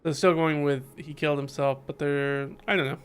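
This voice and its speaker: Higher-pitched man musing over a game. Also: fairly even intonation, moderate pace, smooth. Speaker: game streamer. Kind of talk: casual playthrough commentary mixed with reading game dialogue aloud. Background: music.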